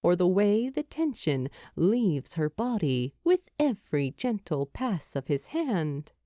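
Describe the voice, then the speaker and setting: Slow, expressive woman narrator, mellow and close. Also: on the low side, no room sound. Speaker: fan fiction narrator. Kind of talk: scripted story narration.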